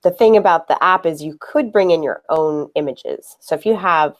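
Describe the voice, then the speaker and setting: A woman from a software team interviewing a user, explaining features patiently and asking friendly questions. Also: deep voice, normal speed, muffled recording.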